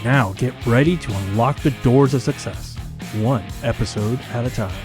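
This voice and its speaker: A loan officer co-host reading a scripted show intro in a smooth delivery. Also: male voice, medium pitch, normal speed. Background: guitar music.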